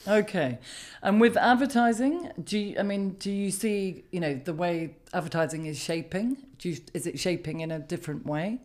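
A woman hosts a podcast interview, her voice sitting a little low. She asks questions with halting restarts and fillers. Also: darkish tone. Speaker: podcast host.

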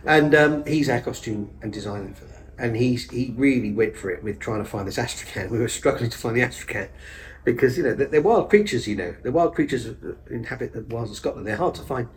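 A man talks loosely and eagerly about his theatre work. He rambles, restarts his sentences and piles on fillers. His pitch sits in an ordinary middle range. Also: lively intonation, brisk, rough recording. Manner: enthusiastic, rambling, self-interrupting with fillers. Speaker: actor and stage director, podcast guest. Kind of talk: casual conversational interview.

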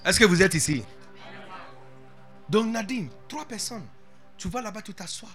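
A man preaching with a high, bright voice that leaps up and down across a wide range. He is animated and playful, tossing in English interjections while addressing a congregation. Faint music sits behind him. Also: measured pace. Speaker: pastor. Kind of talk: sermon.

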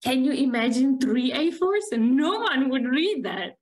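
A woman co-hosting a casual work podcast, emphatic and quick to laugh. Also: high voice, sing-song, a little mellow. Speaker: podcast co-host. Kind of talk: casual chat.

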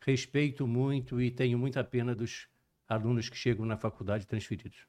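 An older man with a mixed Minas Gerais and Rio Brazilian accent, a cardiologist guest telling his story in a measured, detailed, professorial way. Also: mid-range voice, fairly even intonation, darkish tone. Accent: mixed Brazilian Portuguese, Minas Gerais and Rio de Janeiro. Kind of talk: relaxed storytelling interview.

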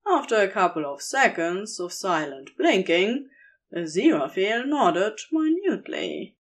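A woman narrator reads romantic fiction at a very slow, measured pace. Her voice sits at a medium pitch and moves with very lively, expressive intonation.

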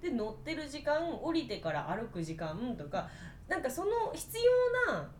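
Female podcast co-host, high animated voice, self-deprecating and halting, noisy rumbly recording. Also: echoey room. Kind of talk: casual group chat.

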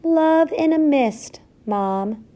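A woman's voice: high-pitched, lively intonation, very slow, dark tone, voice pushed up, heavily acted. A woman voicing tiny young mouse children in a storybook, small and piping, plaintive and innocent. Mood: eager.

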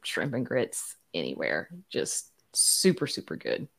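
Low, very expressive woman chatting as guest. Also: unhurried, creaky. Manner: enthusiastic, emphatic. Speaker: podcast guest. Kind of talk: casual chat.

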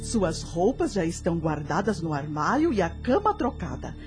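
An actress playing a loyal, attentive maid in a radio drama, answering politely and deferentially. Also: female voice, fairly low pitch, sing-song, a little mellow, soft background music. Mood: chatty. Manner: deferential, quick replies.

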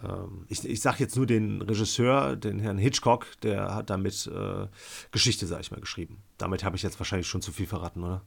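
A man's voice: lowish voice, steady tone, fairly quick, gravelly, slightly rough. A man giving a casual, colloquial film review as a podcast co-host.

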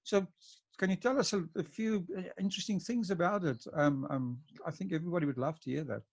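A man's voice: high-pitched, fairly quick, vocal fry, a little mellow. A man hosting an interview podcast, often stumbling and restarting his sentences. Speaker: podcast host.

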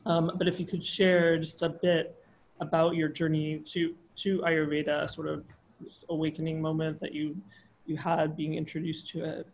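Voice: medium pitch, flat delivery, normal speed, poor audio. Hesitant interviewer with faint background noise. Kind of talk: conversational interview.

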